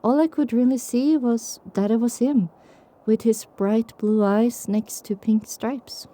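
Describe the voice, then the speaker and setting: A woman's voice: a little high, steady tone, a little slow, dark tone, close to an everyday voice. A woman playing a reflective pony survivor, narrating her own story in a dramatic audiobook style. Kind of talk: dramatic first-person scripted narration.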